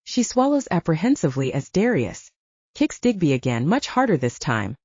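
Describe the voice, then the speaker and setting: A woman's voice: low voice, very animated, moderate pace, darkish tone, husky, no echo. A woman reading scripted dramatic fiction as an audiobook narrator, on a slightly dull recording.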